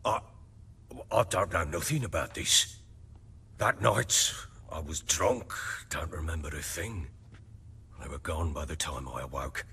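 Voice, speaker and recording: An actor playing a burly, middle-aged, hard-drinking baron in a fantasy video game, with a rough voice and a blustering, defensive manner. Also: male voice, rumbling low, unhurried, pushed and bright, exaggerated voice. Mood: defensive, evasive. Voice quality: rough, husky.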